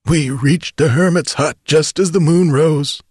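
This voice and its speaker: A man's voice: a little high, darkish tone, breathy edge, no room sound, studio quality, voice pushed up. A man doing a dying, muttering bandit. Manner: muttering, slow and strained. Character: dying wounded male bandit, fading and fearful.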